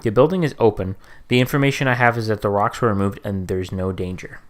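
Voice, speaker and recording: A man's voice: fairly low pitch, fast. Man, podcast co-host, casual chat, gets worked up, swears.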